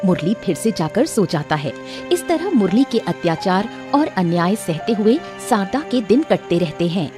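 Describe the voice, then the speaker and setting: Animated woman story narrator. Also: medium pitch, normal speed, hardly any pauses, over music. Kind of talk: scripted narration of a moral cartoon story.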